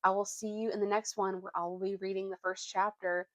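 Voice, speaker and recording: A woman's voice: mid-range voice, flat delivery, brisk, no echo. A young woman with an informal, youthful way of talking, reading a storybook aloud and chatting as a vlogger. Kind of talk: storybook narration and casual chat.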